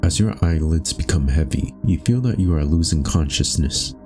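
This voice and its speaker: A man reading scripted second-person narration for a dark existential story in a solemn, measured, brooding manner. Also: very deep voice, animated, music in the background.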